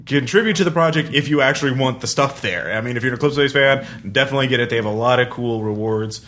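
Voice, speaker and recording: A man hosting a podcast in casual chat, giving announcements in a rambling, halting way full of asides. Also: mid-range voice, a bit bright. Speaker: podcast host. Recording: clear background noise.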